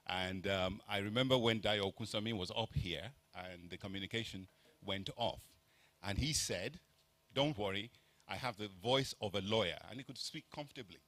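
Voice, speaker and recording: A man, opening a conference panel with dry, self-mocking humor and measured pauses. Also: on the low side, fairly bright tone, no room sound. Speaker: panel moderator. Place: conference hall stage.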